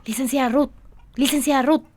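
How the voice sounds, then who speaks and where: A woman's voice: high-pitched, fairly even intonation, brisk, noisy background, lightly acted. An actress playing a sociable village woman who organises her neighbours in a radio soap opera. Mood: friendly, persuasive.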